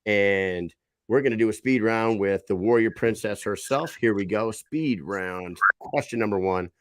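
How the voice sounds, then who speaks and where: Male show host, lowish voice, dry close sound, talkative and rambling. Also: a little slow, no room sound. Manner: chatty, rambling, self-correcting. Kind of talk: casual chat show.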